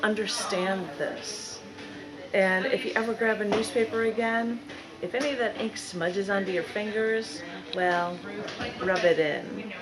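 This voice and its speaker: A woman giving a live spoken-word poetry reading in a bookstore, phrasing dramatically and deliberately with drawn-out emphasis. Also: mid-range voice. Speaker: poet reading own work.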